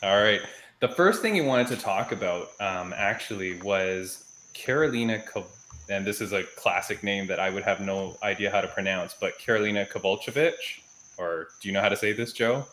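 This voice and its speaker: A man's voice: fairly low pitch, normal speed, a bit bright, echoey room, a little hiss or hum, poor audio. A man hosting a casual interview in an upbeat way, with frequent laughs. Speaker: podcast host.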